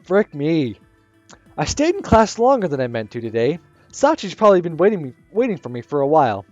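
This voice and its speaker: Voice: mid-range voice, big pitch swings, brisk, darkish tone, no room sound, highs cut off, soft background music. A gaming channel host narrating a visual novel aloud, stumbling and restarting as they read.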